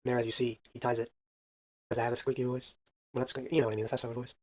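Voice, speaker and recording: A man's voice: mid-range voice, little pitch movement, racing, darkish tone. A man casually commentating a baseball video game, counting hits aloud and adding self-deprecating asides. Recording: faint background music.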